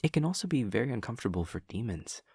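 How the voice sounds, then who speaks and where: A man playing an awkward, earnest, literal-minded demon classmate in an intimate audio roleplay, speaking formally and precisely with hesitant pauses. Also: medium pitch, very animated, vocal fry, a little mellow, husky, no room sound, clean studio sound, exaggerated voice. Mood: awkward, sympathetic.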